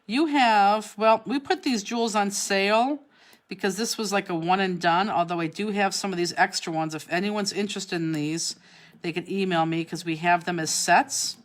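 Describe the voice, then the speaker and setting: Woman, cleveland accent, chatty craft class host, mid pitch, works while she talks. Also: steady tone, normal speed. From Cleveland, Ohio. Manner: chatty, answers viewer comments while working. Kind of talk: live online craft demonstration. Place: craft studio, indoors.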